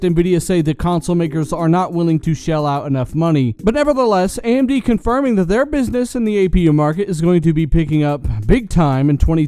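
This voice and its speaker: A man's voice: high voice, dark tone. A man hosting a gaming news video, giving scripted commentary straight to his viewers with emphatic, punchy delivery. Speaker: host of a gaming news channel.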